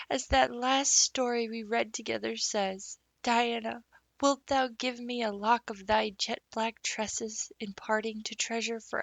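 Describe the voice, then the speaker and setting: A woman's voice: a little high, creaky, fairly bright tone, no echo, voice pushed up. A woman voicing a dramatic, romantic young orphan girl who speaks in flowery, earnest phrases.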